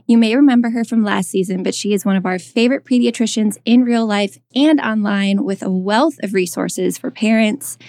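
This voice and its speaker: A woman's voice: medium pitch, moderate pace, few pauses, darkish tone, no echo, clean studio sound. A woman in her thirties co-hosting a podcast, chatting casually with lots of fillers and restarts. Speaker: podcast co-host.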